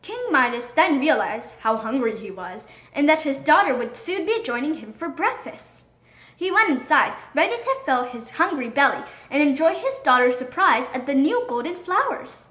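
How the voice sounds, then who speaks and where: High-pitched, animated woman storyteller. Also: normal speed. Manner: expressive, dramatic read-aloud delivery. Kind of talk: scripted children's storybook narration. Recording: roomy echo.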